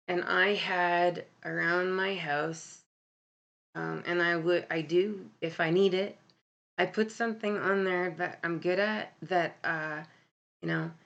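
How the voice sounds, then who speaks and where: A woman with a deep voice hosting a casual live stream, talking candidly and bluntly with many ums and self-corrections. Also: gravelly, fairly bright tone, highs cut off. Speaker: livestream host. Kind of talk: casual live-stream talk about mental health.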